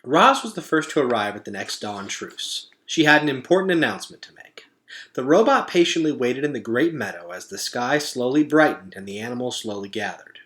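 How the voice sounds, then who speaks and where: A man reading a children's story aloud as an expressive narrator. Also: medium pitch, fairly quick.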